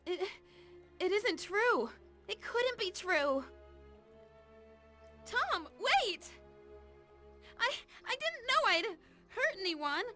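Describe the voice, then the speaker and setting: A woman's voice: very high-pitched, big pitch swings, drawn-out words, pauses often, bright, forceful tone, soft background music, full character voice. A young actress playing a frightened young woman pleading for her life in a video game, with a stammering delivery. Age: young adult. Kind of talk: dramatic video game voice acting.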